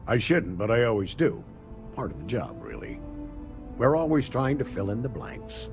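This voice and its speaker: An actor playing a composed male secret agent in a video game, dry, measured and slightly condescending. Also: medium pitch, very animated, a little mellow. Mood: businesslike. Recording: prominent music behind the voice.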